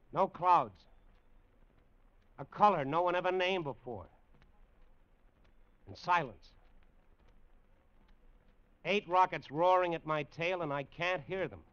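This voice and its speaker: High, tense radio-drama test pilot. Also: male voice, a bit bright, clear, resonant tone. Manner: dramatic, halting monologue. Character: male test pilot alone in a rocket plane, awed, straining. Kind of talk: old-time radio drama acting. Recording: dull, lo-fi vintage radio recording.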